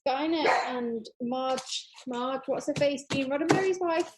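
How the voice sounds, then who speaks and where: A woman's voice: high voice, a little slow, very noisy. A woman in a casual chat about films, talking in an enthusiastic, rambling way. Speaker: podcast guest.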